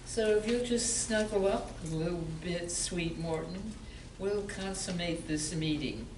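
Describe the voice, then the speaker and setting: Woman acting slow-talking undercover barmaid, echoey room. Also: on the low side, natural voice. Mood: flirtatious. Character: flirty barmaid who is secretly an undercover cop. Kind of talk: amateur radio play acting. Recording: roomy, distant mic, faint hiss.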